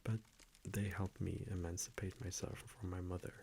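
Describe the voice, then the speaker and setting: A man playing a gentle, confiding vampire boyfriend in an intimate ASMR roleplay, speaking hushed and hesitant and often slipping into a whisper. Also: low voice, little pitch movement, moderate pace, gravelly, slight background noise. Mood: quietly confiding.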